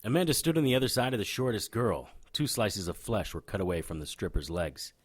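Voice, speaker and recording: An actor playing a hard-boiled occult private investigator in a noir audio drama, with a deadpan, wry manner. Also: male voice, lowish voice, fairly quick. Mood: deadpan, brooding. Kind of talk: noir radio drama acting with first-person narration.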